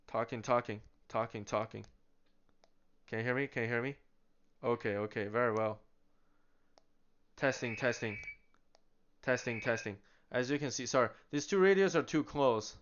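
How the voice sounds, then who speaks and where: A man with a smooth, resonant voice talks through a product demo in a relaxed, chatty way. He keeps posing questions and answering them himself, with small laughs along the way. The recording is slightly dull and lo-fi. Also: mid-range voice, moderate pace, long pauses. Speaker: product demonstrator. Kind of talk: casual product demonstration.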